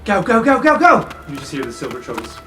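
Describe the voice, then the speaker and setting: A man narrating an improvised tabletop fantasy game as game master, in an excitable, dramatic way. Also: on the high side, fast. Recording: roomy echo, distant, background music.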